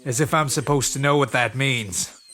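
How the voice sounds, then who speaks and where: A man's voice: mid-range voice, moderate pace, bright, forceful tone, close to an everyday voice. A man playing a sardonic, bantering sci-fi trooper, wry and sarcastic in scripted drama dialogue.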